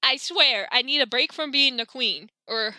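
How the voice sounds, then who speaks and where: A woman hosts a casual let's play with a high, very bright voice. She chats and grumbles in an exasperated way, slightly hoarse. The recording is close and dry. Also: moderate pace, no room sound, poor audio. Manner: exasperated, chatty, reads game text aloud. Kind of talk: casual gameplay commentary.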